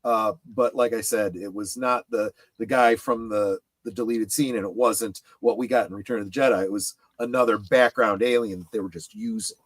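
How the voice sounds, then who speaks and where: A middle-aged man in casual conversation on a livestream panel, speaking haltingly and often repeating words as he goes. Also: lowish voice, fairly even intonation, no room sound. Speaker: panelist on a pop culture livestream. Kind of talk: casual chat about comics.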